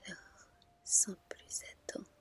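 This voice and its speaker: A woman hosting whispered ASMR, gently and soothingly guiding a single listener into relaxation. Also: slow. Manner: soothing, gentle, intimate address to one listener. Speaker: ASMR channel host and reader.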